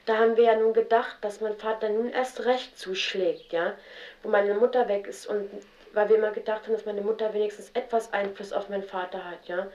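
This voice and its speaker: A young woman telling about her childhood in a halting, spontaneous way, often with fillers and restarts. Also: medium pitch, steady tone, darkish tone. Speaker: interviewee. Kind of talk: spontaneous personal account. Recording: roomy, dull 1970s radio tape.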